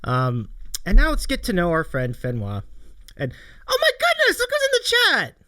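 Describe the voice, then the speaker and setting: A man hosts a livestream talk show with an animated, swooping delivery. His voice is bright and forceful, recorded close and dry, and he chats effusively, often doubling back on his own phrases. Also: high-pitched, normal speed, clean tone, dry recording. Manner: enthusiastic, effusive, repeats phrases. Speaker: live stream show host. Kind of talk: chatty livestream interview.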